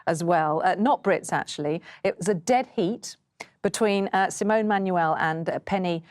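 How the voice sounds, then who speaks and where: A woman, a news presenter interviewing guests, with brisk conversational questioning. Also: fairly low pitch, dry recording, studio quality.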